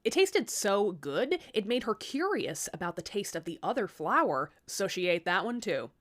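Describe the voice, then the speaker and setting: A woman's voice: medium pitch, sing-song, moderate pace. A woman telling a fairy tale in an irreverent, conversational way, with swearing and sardonic asides. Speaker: storyteller, series host.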